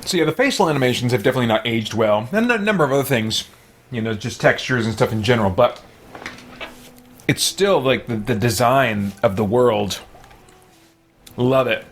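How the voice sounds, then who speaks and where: A man's voice: medium pitch, expressive, moderate pace, long pauses. A man talking casually as he streams a video game.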